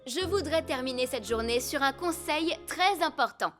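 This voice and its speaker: A woman's voice: high-pitched, moderate pace, music in the background, lightly acted. A woman playing a caring, careful young female rescue robot in a children's cartoon, polite and instructive. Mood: caring, earnest. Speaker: rescue team safety instructor. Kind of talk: dubbed children's cartoon dialogue.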